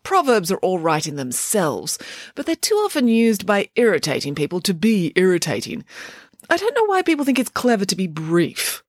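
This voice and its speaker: A woman voicing an excitable, opinionated pig nanny in a children's story, with emphatic theatrical declarations. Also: mid-range voice, normal speed, no echo, clean studio sound, pitched above the performer's own voice.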